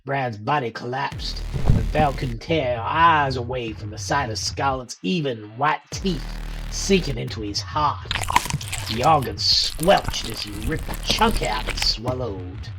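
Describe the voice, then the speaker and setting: A man's voice: mid-range voice, measured pace, noisy background. A man narrating a scripted horror story in a dramatic style. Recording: music underneath.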